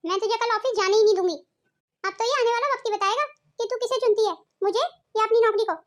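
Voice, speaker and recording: A woman's voice: extremely high pitch, fast talker. A woman voicing a melodramatic, scheming yet guilt-ridden middle-aged Indian mother-in-law in a Hindi cartoon family drama.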